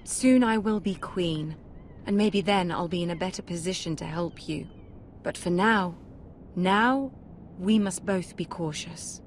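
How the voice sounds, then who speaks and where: An actress playing a poised, cautious young noblewoman in a fantasy video game, measured and formal in manner. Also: lowish voice, a little hiss or hum, close to an everyday voice. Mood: firm, cautious. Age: young adult. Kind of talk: dramatic video game acting.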